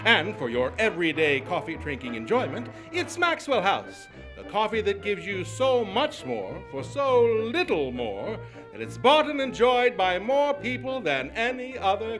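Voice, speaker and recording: Guy doing a big showy 1940s radio announcer, high bouncy voice, faint music behind. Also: exaggerated voice. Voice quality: booming, showy sales pitch. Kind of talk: old-time radio announcing.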